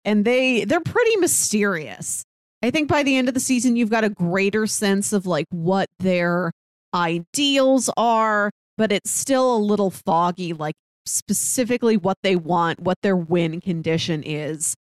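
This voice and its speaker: A woman's voice: medium pitch, a little slow, no room sound. A woman co-hosting a podcast in casual, conversational talk. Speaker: podcast co-host.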